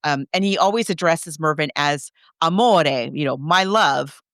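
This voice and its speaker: Chatty, smooth-voiced woman podcaster, slightly low. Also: a little slow, a bit bright, no echo, clean studio sound. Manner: enthusiastic, chatty, emphatic. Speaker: podcast host. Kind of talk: casual film discussion.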